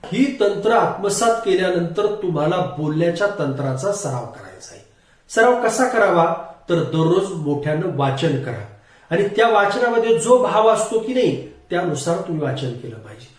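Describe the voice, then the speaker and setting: A man giving a formal, explanatory lesson as a public speaking coach, in measured teacher-like phrasing. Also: sits high, sing-song. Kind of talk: instructional lecture to camera. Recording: very echoey room, distant microphone.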